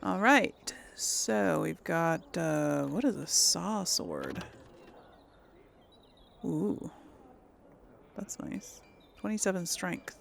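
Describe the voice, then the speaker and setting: Slow, creaky-voiced woman playing a game. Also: lowish voice, big pitch swings, a little hiss or hum. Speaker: gaming video host. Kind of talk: casual role-play game commentary.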